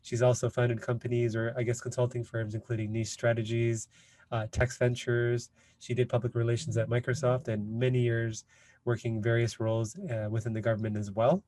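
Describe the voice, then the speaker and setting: A man's voice: mid-range voice, flat delivery, fairly quick, warm tone, dry recording. A man hosting a podcast interview, talking casually and hesitantly with many fillers. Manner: hesitant, many fillers like "you know" and "kind of". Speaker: podcast host.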